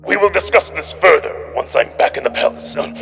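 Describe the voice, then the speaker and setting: Man as an imperious, gravelly wolf emperor. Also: fairly high pitch, expressive, a little fast, a bit bright, full character voice. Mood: menacing. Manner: strained, breathy, growly barking. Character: imperious, irritable male wolf emperor, growling and menacing. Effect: intercom speaker. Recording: long reverb, music behind.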